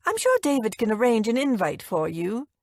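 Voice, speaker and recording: An overbearing society mother, as a woman narrator puts her on, trills in a high voice. She swoops through her lines with theatrical airiness and cutting insistence, recorded close and dry. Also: big pitch swings, unhurried, no room sound. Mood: gushing, insistent. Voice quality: trilling, crisp. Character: posh, overbearing wealthy mother, shrill and breezily insistent. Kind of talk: audiobook character dialogue.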